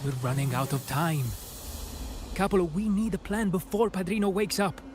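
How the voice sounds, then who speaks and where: A man's voice: high-pitched, expressive, moderate pace, background noise. A man playing a proud, hot-headed young mafia family member in a video game, urgent and argumentative.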